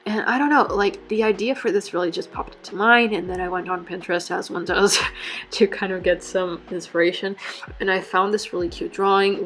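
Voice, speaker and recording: A woman's voice: fairly low pitch, a bit bright. A woman who is not a native English speaker, fighting off a cold, chatting casually through a journal setup with lots of fillers and self-corrections. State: has a cold. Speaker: bullet journal vlogger. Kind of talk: casual chat.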